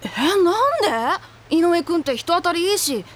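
A woman playing a bright, gossipy high school girl in an audio drama, with a girlish, chatty delivery. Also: sing-song, moderate pace. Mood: curious, gossipy. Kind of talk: Japanese audio drama acting.

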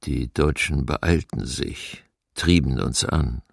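An actor playing a forced labourer soberly recalling horrors in a documentary radio drama. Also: male voice, extremely low pitch, slow pace, gravelly, no room sound, clean studio sound, exaggerated voice. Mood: bleak, sober.

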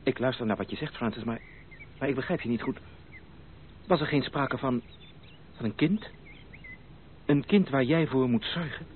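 Earnest, theatrical male suitor played by an actor, formal and animated, hissy phone-like vintage radio play recording. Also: mid-range voice, moderate pace, pauses often, lightly acted. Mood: bewildered. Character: ardent, earnest gentleman suitor pleading for love. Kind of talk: old radio play acting. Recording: tinny, band-limited, hissy old radio recording.

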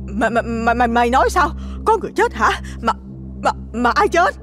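A man doing a shrill stammering rich matron. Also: very animated, a little fast, a bit bright, soft background music, full character voice. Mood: terrified. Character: panicked wealthy older village woman.

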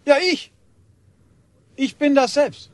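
A man's voice: very high-pitched, lively intonation, takes it slowly, full character voice. A man acting as a flustered, dutiful village policeman in a radio drama, hesitant and indignant in an official way. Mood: startled, eager.

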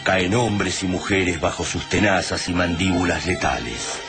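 A man acting as a solemn Argentine narrator of a sci-fi war radio play, phrasing deliberately and with suspense. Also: deep voice, over loud music. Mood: grim, tense. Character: grave male narrator of a sci-fi invasion war.

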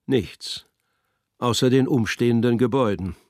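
A man narrating a literary radio drama with measured, deliberate phrasing. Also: medium pitch, no echo, studio quality.

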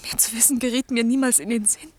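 A woman plays a compassionate young daughter in a classic radio drama. Her voice is fairly high and very breathy, earnest and lyrical, recorded close and dry. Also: steady tone, fast, darkish tone, no echo, close to an everyday voice. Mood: earnest, tender. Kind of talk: classic German radio play acting.

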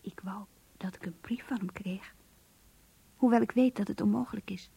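An actress in a radio play voicing an earnest, introspective young woman who confides in her diary in an intimate, breathy voice. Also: medium pitch, moderate pace, warm tone, dry recording. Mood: wistful, troubled. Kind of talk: radio drama diary monologue.

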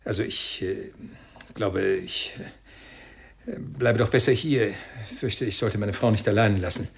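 An actor playing a brusque, defensive, well-off father in a radio crime drama, with a creaky voice and a tightly controlled manner. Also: lowish voice, unhurried. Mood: evasive, hesitant. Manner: brusque, hesitant under pressure.

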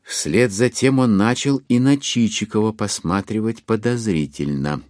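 A man narrating a literary audio drama in a measured, expressive storytelling manner. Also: fairly low pitch, no echo, studio quality. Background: music.

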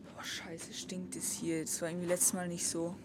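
A woman's voice: fairly low pitch, completely flat delivery, normal speed, close to an everyday voice. An actress playing an uneasy young female student in a naturalistic radio play, casual and slangy. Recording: clear noise, vehicles behind.

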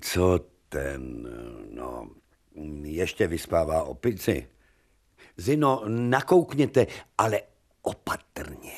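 An actor playing a wry, commanding older professor of medicine in a radio drama. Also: male voice, deep voice, expressive. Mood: wary. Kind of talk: theatrical radio drama acting.

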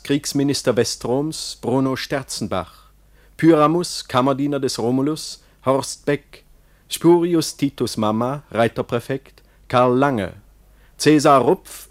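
A man reading cast credits as a formal radio announcer, with deliberate, precise enunciation. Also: mid-range voice.

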